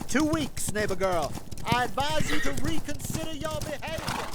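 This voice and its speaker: A man's voice: very high-pitched, expressive, normal speed. A man playing a pompous, indignant elf landholder who speaks formally and threateningly in a fantasy audio drama. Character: haughty, indignant male elf landholder on horseback.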